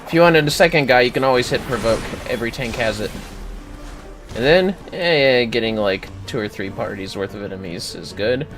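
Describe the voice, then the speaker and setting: A man chatting casually while gaming on a livestream, playful, exclamatory and quick to swear. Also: medium pitch, a bit bright, soft background music. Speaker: gamer on a livestream. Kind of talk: casual gameplay chat.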